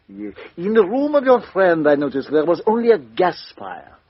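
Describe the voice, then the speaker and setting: A polite foreign detective, husky and hesitant, dull old radio sound. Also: male voice, fairly high pitch, huge pitch swings, measured pace, no echo, full character voice. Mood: courteous. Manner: hesitant, repeating words, courteous and probing. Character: polite, inquisitive foreign male private detective. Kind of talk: radio drama acting. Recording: dull, lo-fi radio drama recording.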